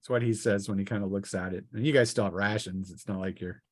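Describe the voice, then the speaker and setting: A man's voice: lowish voice, fairly even intonation. A man working as game master, improvising narration for a tabletop roleplaying game and often restarting his sentences partway through. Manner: halting, restarting descriptions mid-sentence.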